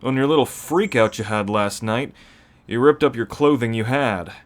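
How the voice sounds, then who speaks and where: A man playing a seasoned, calm werewolf who explains pack ways matter-of-factly in an ASMR roleplay. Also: medium pitch, moderate pace, lightly acted.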